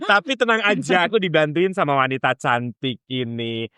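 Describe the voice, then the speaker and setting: A man's voice: on the high side, animated, moderate pace, pushed and bright, smooth, dry recording, studio quality. A man hosting a podcast, joking and laughing often while he interviews his guests. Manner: jokey, laughs often, mixes Indonesian and English. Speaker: podcast host. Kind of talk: playful casual interview.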